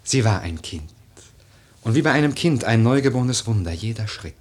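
A man's voice: medium pitch, lively intonation, slightly rough. An actor playing a grieving, brooding young nobleman in a declamatory, lyrical verse radio drama. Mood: mournful, reverent. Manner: declamatory, lyrical, slow and deliberate.

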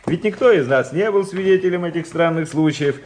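A man's voice: fairly high pitch, brisk, darkish tone, lightly acted. A man acting a doubting, reasonable army major in a radio play. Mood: objecting.

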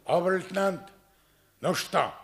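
An actor playing an imperious, impatient German army colonel in a 1950s Soviet radio play, commanding, formal and theatrical. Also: male voice, high-pitched, lively intonation, unhurried, creaky, fairly bright tone, a little hiss or hum, full character voice. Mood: demanding.

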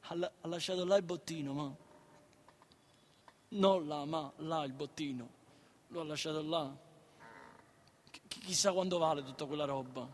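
A man's voice: on the high side, moderate pace, long pauses, a little hiss or hum, lightly acted. An actor playing a naive, eager schoolboy in a radio play, with a Neapolitan accent, stammering and repeating his words insistently. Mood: hushed awe. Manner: childlike, insistent, excitable. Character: eager, naive, chattering schoolboy son of a struggling mother. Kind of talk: radio theatre acting. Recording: reverberant hall.

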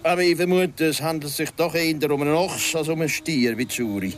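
A man's voice: a little high, a little fast, natural voice. An actor playing a wary, guarded local man in a radio drama, with a Swiss German accent and a terse, formal manner. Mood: reserved, explaining.

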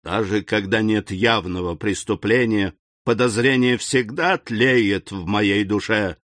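A man's voice: on the low side, dry recording. Man voicing Villefort, an icy stern prosecutor. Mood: fierce accusing. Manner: icy, deliberate, accusing. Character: stern cold middle-aged male royal prosecutor.

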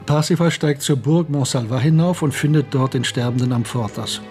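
An actor playing a reflective, betrayed older man in a radio drama, telling his story in a measured, literary way. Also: medium pitch, a little mellow, faint music behind, close to an everyday voice. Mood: solemn, rapt. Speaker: narrator and lead character. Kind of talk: German radio drama monologue and dialogue.

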